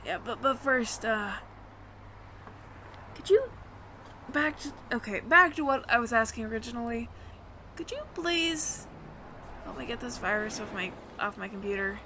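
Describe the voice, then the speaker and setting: A woman's voice: fairly high pitch, animated, bright, forceful tone, a little hiss or hum. A woman playing a flustered, smitten alien roommate who stammers nervously and earnestly in an intimate audio roleplay. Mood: sheepish, pleading. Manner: nervous stammering, halting, repeats words.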